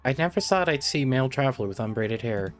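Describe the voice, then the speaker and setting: A man's voice: mid-range voice, animated, quick pace. A man giving casual, off-the-cuff commentary while streaming a game. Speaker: live streamer playing a game. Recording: game music under the voice. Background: game music.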